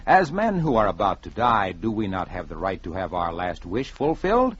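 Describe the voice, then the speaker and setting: A man's voice: deep voice, wildly swooping pitch, moderate pace, husky, muffled recording, pitched below the performer's own voice, full character voice. A man voicing a cunning condemned young prisoner in a radio story, formal, deferential and persuasive, on a vintage recording. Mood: earnest, formal.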